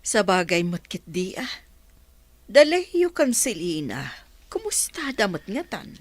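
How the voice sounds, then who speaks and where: A woman playing a wistful, worried old village wife in a radio drama, with a husky voice. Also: mid-range voice, sing-song, unhurried, no echo. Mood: wistful worry.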